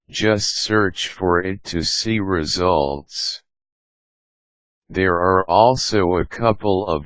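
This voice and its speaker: A man's voice: deep voice, fairly bright tone, no echo. A man reading a scripted how-to guide as an instructional narrator.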